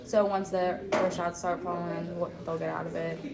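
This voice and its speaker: A woman's voice: fairly low pitch, little pitch movement, moderate pace. A teenage girl basketball player giving brief, hesitant answers in an interview. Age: teens. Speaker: high school basketball player. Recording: echoey gym with loud crowd.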